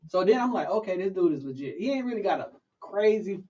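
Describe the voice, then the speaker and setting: A man hosting a podcast in casual chat, telling animated stories and acting out what people said. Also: high-pitched, quick pace, far from the mic, lo-fi sound, over a noisy crowd. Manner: animated storytelling with acted-out quotes.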